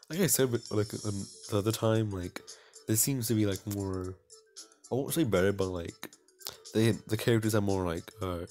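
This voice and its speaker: A man's voice: on the low side, a little mellow. A man doing casual playthrough commentary and reading game text aloud in a goofy, self-mocking way. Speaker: let's player.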